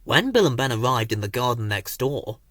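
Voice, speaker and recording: A man narrating a children's story as an expressive audiobook storyteller. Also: medium pitch, fairly quick, no echo, studio quality.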